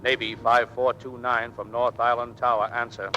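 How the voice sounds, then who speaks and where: A man acting deferential radio operator, medium pitch, formal repeated call style, vintage drama, clearly noisy broadcast. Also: level tone, normal speed, sharp tone, dull audio, close to an everyday voice. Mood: dutiful, concerned. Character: dutiful, deferential navy tower radio operator.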